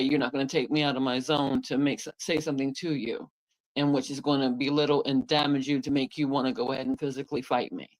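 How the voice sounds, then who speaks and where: A woman's voice: rumbling low, rough recording. A woman on a discussion panel, speaking candidly and reflectively, with many hesitations.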